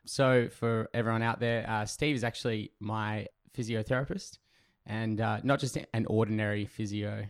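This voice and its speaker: Chatty man with a low, slightly rough voice. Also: steady tone, normal speed, dry recording, studio quality. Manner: chatty, filler-heavy, enthusiastic. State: recovering from laryngitis. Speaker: podcast host. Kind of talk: casual podcast intro and interview.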